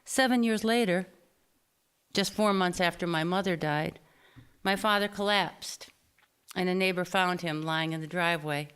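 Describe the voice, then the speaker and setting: A woman reading her own personal essay aloud at a live storytelling event, with expressive, deliberate phrasing. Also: on the low side, clear, resonant tone. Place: small theater stage, live reading before an audience.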